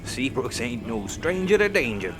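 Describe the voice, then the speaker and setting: A man's voice: medium pitch, very animated, moderate pace, over music, lightly acted. A man playing a folksy, proud Texan father and space settler in a sci-fi audio comedy. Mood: folksy storytelling.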